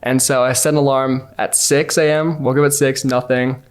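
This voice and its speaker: A man's voice: mid-range voice, level tone, normal speed, clean tone. Young man, around 20, from New Jersey, mid-range voice, casual upbeat storytelling. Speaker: scholarship student.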